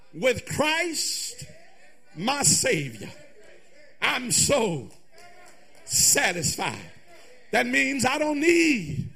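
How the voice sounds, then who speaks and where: A man preaching a fervent, musical sermon in a raspy, gravelly voice, in short, emphatic, repeated phrases that slide toward singing and shouting. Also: high voice. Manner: emphatic repetition in short, drawn-out phrases with calls to the congregation.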